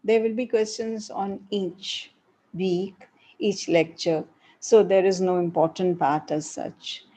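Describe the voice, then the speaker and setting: A middle-aged woman professor with an Indian English accent and a breathy voice, answering student questions in a live online session with frequent hesitations. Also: mid-range voice, steady tone, slow pace, dark tone, poor audio. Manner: explanatory, repeats points with hesitations.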